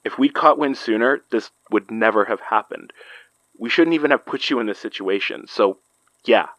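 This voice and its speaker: An actor playing a caring, wry man in a horror audio drama, with halting, sincere, naturalistic delivery. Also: fairly low pitch, a bit bright, no echo, natural voice, poor audio. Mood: apologetic. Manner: halting, sincere, dry humour. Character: caring, wry, apologetic ordinary man in supernatural trouble.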